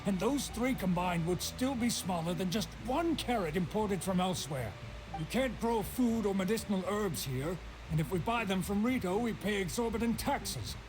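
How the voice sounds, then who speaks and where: A man playing a stern, indignant middle-aged island trader in a video game, gruff and argumentative. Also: high voice, expressive, normal speed, music in the background, poor audio. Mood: bitter, insistent. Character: stern, indignant older male island trader, grieving father.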